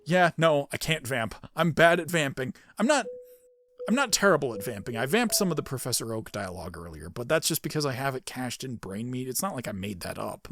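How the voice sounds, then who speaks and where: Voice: medium pitch, big pitch swings, a little fast, a bit bright. A gaming video creator giving casual gameplay commentary, thinking aloud in a self-critical way. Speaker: gaming video creator doing character voices.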